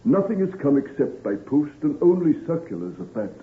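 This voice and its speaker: A man's voice: a little high, sing-song, fairly quick. A dutiful butler in a vintage radio play. His deferential, formal speech lilts up and down. The recording is echoey and very narrow. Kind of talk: old-time radio drama acting. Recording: echoey, tinny old-time radio recording.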